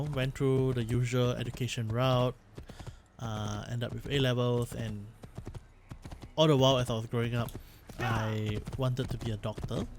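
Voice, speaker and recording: A man's voice: medium pitch, background noise. Chatty young man rambling. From Singapore. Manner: rambling, self-correcting, chatty. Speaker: let's play host. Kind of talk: casual gameplay commentary.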